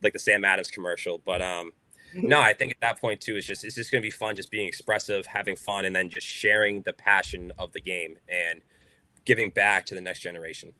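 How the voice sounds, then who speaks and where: A young man with a Boston accent speaks in a very low, deep voice with a bright, forceful edge. He talks casually and outspokenly, piling on fillers, and the recording is dry and close. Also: steady tone, a little fast, dry recording. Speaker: baseball player guest. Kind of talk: podcast interview.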